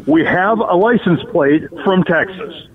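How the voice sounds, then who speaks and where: High-voiced slow man on lo-fi phone. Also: over music. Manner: unhurried, playful running commentary. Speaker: roving field reporter. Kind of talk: live on-the-spot reporting by phone. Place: hotel parking lot outdoors. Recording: dull lo-fi phone audio.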